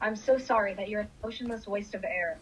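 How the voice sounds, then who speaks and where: A woman's voice: mid-range voice, quick pace, a little hiss or hum, lightly acted, low-quality recording. A woman playing a bitter, desperate grieving mother in an anguished, confessional radio drama performance. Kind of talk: radio drama acting with first-person monologue.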